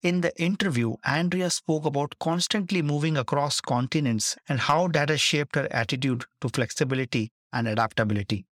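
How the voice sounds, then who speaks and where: A man's voice: fairly high pitch, brisk, hardly any pauses, dry recording, clean studio sound. A man hosting a podcast, reading a scripted, emphatic intro and then interviewing a guest. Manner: emphatic, repeats key phrases. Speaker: podcast host.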